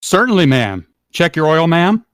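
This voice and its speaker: A man's voice: on the high side, sing-song, normal speed, clean tone, dry recording, clean studio sound. A man playing a plain-spoken, chatty gas station attendant in an amateur radio play, with a folksy, colloquial manner. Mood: polite, easygoing.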